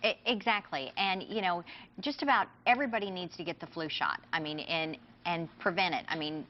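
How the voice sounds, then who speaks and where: A woman pharmacist on a TV segment, explaining in a brisk, confident way with many fillers. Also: fairly low pitch, highs cut off. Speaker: pharmacist guest. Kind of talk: TV interview, explaining.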